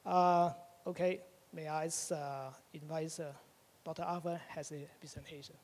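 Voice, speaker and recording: Halting high-voiced man introducing a talk. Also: steady tone, a little mellow, a little hiss or hum. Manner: halting, many fillers. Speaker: society chairperson introducing the speaker. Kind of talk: formal introduction. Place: lecture theatre. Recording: reverberant hall.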